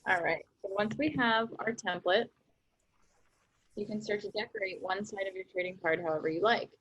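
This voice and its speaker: A woman's voice: medium pitch, moderate pace, a bit bright, slightly rough, dull audio. A young woman presenting a workshop, cheerfully explaining each craft step as she works. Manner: upbeat, explains steps while working. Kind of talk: instructional craft demonstration.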